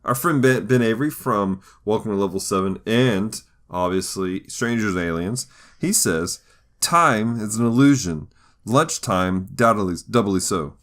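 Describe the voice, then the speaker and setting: A man's voice: lowish voice, expressive, unhurried, fairly bright tone. A man hosting a podcast, chatting casually in a jokey, easygoing conversational style. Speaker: podcast host. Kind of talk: casual chat.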